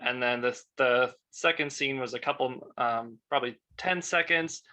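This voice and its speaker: A young man with a bright, sharp-edged voice of average pitch. He talks casually as a panelist about his creative work. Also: moderate pace. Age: young adult. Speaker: video animator on a panel.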